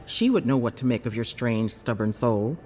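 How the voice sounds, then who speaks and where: An actor voicing a caring, inquisitive healer in a video game, with a measured, advising manner. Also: male voice, mid-range voice, animated, a little mellow, faint music behind, natural voice. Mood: puzzled, thoughtful. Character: keen, caring clinic healer, intrigued by strange souls. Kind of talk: video game character dialogue.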